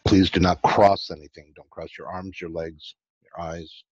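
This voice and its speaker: Deep, husky man leading guided meditation. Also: little pitch movement. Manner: slow, soothing instruction with long pauses. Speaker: host and guided meditation leader. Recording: dull, lo-fi, roomy sound.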